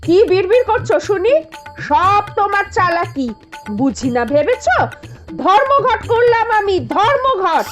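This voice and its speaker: A woman voicing a hot-tempered, dramatic Bengali housewife in a cartoon. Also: very high-pitched. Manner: scolding, dramatic. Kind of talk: cartoon voice acting.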